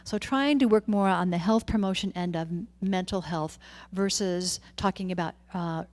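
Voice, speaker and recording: A woman's voice: fairly low pitch, normal speed, darkish tone. Lady giving a health update at a city committee meeting, casual, goes through her slides. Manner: informal, with fillers and restarts, walking through slides. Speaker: public health official presenting to a committee.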